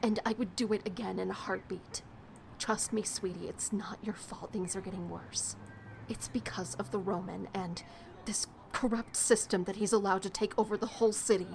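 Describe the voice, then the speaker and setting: A woman's voice: medium pitch, steady tone, normal speed, natural voice. Jaded, streetwise woman over noisy ambience acted. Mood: tender, resolute. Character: jaded, streetwise woman bitter about a corrupt city. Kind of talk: audio drama acting. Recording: clearly noisy, ambience behind.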